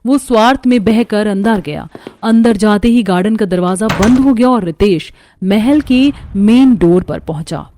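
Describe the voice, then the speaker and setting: A woman's voice: medium pitch, a little slow, dark tone, slight background noise. A woman reading scripted narration for a horror story.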